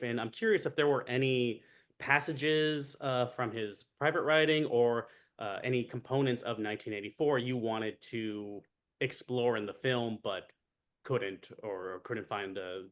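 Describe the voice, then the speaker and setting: A man's voice: mid-range voice, fairly even intonation, moderate pace. Man asking interview questions. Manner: friendly, asks long multi-part questions.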